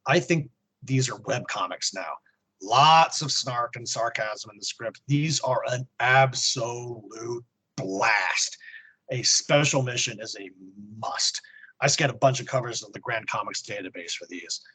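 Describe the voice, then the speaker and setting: A man's voice: medium pitch, lively intonation, measured pace, bright, forceful tone, slightly rough, rough recording. A man co-hosting a podcast, reading a prepared report on comics and history with snarky muttered asides. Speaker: podcast co-host. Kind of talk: comic book report read from a script with asides.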